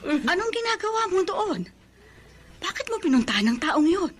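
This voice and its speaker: A woman's voice: very high-pitched, big pitch swings, normal speed, faint music behind. An actress playing a curious woman who presses a friend with questions in a radio drama. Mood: probing. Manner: probing, questioning.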